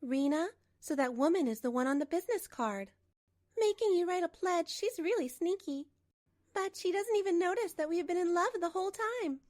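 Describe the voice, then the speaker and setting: A woman as a young hostess mistress, very high pitch, cooing, sly, manga drama. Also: animated, moderate pace, darkish tone, dry recording, studio quality. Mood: coy.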